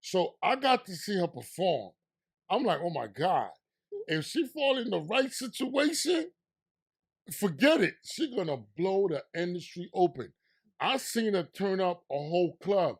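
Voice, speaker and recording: A man with a New York accent hosts a laid-back interview in a fairly high voice. He swings from hyped, loud excitement to a low, confiding tone, talking loose and street-casual. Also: a little slow, breathy edge. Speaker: podcast host and DJ.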